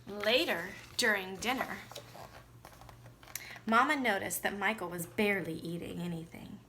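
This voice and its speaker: A woman's voice: medium pitch, a little slow, sharp tone, slight background noise. A woman teacher reading a children's storybook aloud expressively, with teaching asides and questions to the listener.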